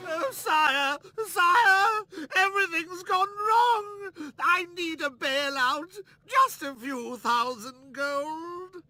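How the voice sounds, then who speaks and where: An actor playing a panicky, grovelling official in a video game, whining and pleading. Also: male voice, very high voice, lively intonation, very slow, hardly any pauses, heavily acted. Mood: panicked pleading. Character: panicky, grovelling male official begging for money. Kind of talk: video game character acting. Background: loud music.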